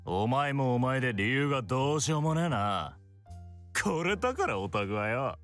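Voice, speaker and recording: A gruff, scornful young man in a visual novel, firing off blunt remarks quickly. His intonation is lively, with faint music behind. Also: mid-range voice. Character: gruff, scoffing young tough guy. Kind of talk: Japanese visual novel voice acting.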